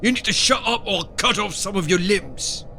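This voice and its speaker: High, gravelly, cruel dungeon guard. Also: male voice, moderate pace, sharp tone, slight background noise. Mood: menacing, taunting. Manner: menacing, taunting, coarse. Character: cruel, bullying, foul-mouthed dungeon guard. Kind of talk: dark fantasy audio drama acting.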